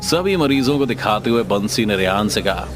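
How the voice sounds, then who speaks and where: Guy narrating scary story, deep-ish voice, music playing loud behind him. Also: expressive, normal speed. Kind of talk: scripted dramatic storytelling narration. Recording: music under the voice. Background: background music.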